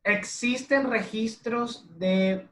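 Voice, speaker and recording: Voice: mid-range voice, very slow, a bit bright, a little hiss or hum. An interviewer asking polite, formal questions. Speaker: interviewer host. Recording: roomy echo.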